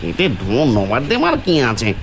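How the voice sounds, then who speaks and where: A man playing a mysterious, shrouded ghostly train passenger in an animated ghost story, reassuring in an uncanny, evasive way. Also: mid-range voice, a little slow, a little hiss or hum. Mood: helpful. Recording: music behind the voice.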